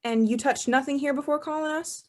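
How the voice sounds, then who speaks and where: A woman's voice: high voice, brisk, close to an everyday voice. A young woman playing a suspicious male police inspector in a radio play, asking clipped, methodical questions in a formal way. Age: young adult. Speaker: student actor.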